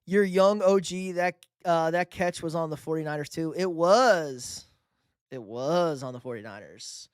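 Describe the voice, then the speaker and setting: A man's voice: a little high, unhurried, clear, resonant tone, dry recording, studio quality. A young man hosting a live sports stream, reading chat questions and bantering loosely with casual profanity. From New York. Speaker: livestream host reading chat.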